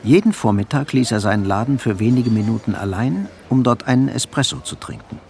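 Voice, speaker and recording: A man giving measured, scripted literary narration for a radio drama. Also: mid-range voice, creaky, darkish tone. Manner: measured, storytelling. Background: faint music.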